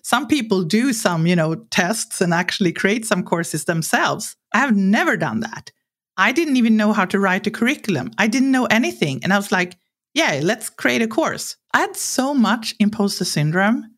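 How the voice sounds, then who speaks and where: A woman's voice: medium pitch, normal speed. A woman in her forties from Sweden with a Swedish accent, speaking English as a second language as a podcast guest, telling personal stories candidly and acting out what people said. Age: 40s. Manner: animated, acts out quoted lines, laughs while talking. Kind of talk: candid conversational storytelling.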